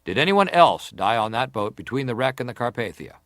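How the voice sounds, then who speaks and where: A persistent senator questions a witness in a dramatized hearing. His delivery is formal and deliberate at a medium pitch, recorded close and dry. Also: big pitch swings, a bit bright, dry recording. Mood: grave, probing. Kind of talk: dramatized reading of hearing testimony.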